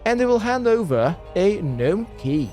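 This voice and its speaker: Animated game guide narrator with lively pitch. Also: a little slow. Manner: instructional, addresses the viewer with "let's". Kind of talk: step-by-step game walkthrough commentary. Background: music.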